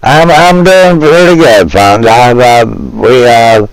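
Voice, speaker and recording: A man from Virginia, chatting casually with live viewers, rambling and halting as he searches for words. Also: medium pitch, clean tone, dry recording, poor audio. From West Virginia. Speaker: streamer.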